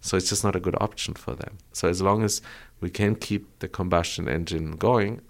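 A man's voice: sits low, moderate pace, vocal fry. A middle-aged man from Colombia, a guest on a podcast interview, explaining at length and often checking in with "right?". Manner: explanatory, frequent tag "right?". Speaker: guest, former auto executive.

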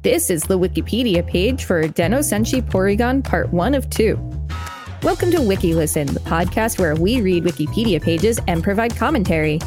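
A woman's voice: medium pitch, expressive, normal speed, music in the background. A young woman co-hosting a podcast, reading aloud with casual, chatty, upbeat commentary. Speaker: podcast co-host.

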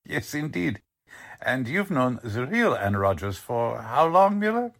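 An actor playing a smug, courteous Nazi commander who speaks deliberately, in the manner of 1940s radio drama. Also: male voice, medium pitch, very animated, vocal fry, fairly bright tone, exaggerated voice. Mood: smugly pleased. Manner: courteous, deliberate, self-satisfied. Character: smug, scheming male Nazi spymaster, a commanding officer.

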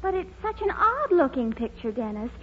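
A sweet, curious young sweetheart in an old radio comedy. Her voice sits very high and swings playfully up and down. The sound is a dull vintage broadcast with a faint audience behind it. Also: very animated, normal speed. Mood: teasing, curious. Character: sweet, curious young girlfriend looking at a class book. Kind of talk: 1940s radio comedy acting. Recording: band-limited old radio recording with audience noise.

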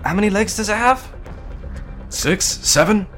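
A man voicing an anxious, bookish young researcher in a fantasy story, calling out in a breathless, hurried way. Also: high voice, lively intonation, fairly bright tone, soft background music, voice pushed up. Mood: flustered. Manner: hesitant, breathless, panicky.

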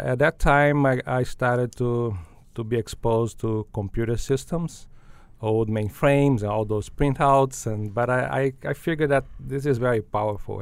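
A man's voice: mid-range voice, vocal fry, clean tone, dry recording. An older man from Brazil, a guest answering interview questions thoughtfully, with frequent fillers and restarts. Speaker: guest, business school dean.

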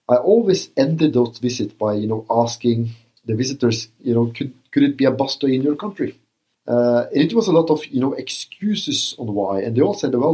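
A middle-aged man talks earnestly in an interview. His voice is mellow and dark, and his pitch sits in the middle. He restarts his phrases often and fills the gaps with "uh". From Norway. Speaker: former prison governor. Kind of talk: interview answers.

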